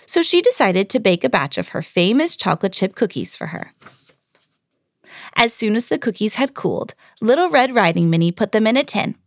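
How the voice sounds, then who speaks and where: Animated woman reading a children's story. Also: medium pitch, vocal fry, no echo, studio quality. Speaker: podcast host and children's story reader.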